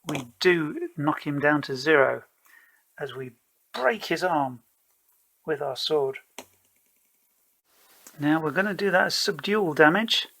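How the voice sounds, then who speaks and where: A man's voice: fairly high pitch, gravelly, a bit bright, low-quality recording. A man narrating his own solo tabletop role-playing game in a casual, rambling way, self-deprecating and playfully theatrical.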